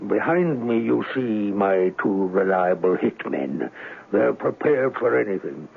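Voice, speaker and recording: An actor playing a huge, menacing crime boss in a radio drama, speaking formally and pompously in a gravelly, husky voice. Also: male voice, on the low side, expressive, measured pace, heavily acted. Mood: smug menace. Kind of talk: radio drama acting, gangster parody. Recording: lo-fi old radio broadcast.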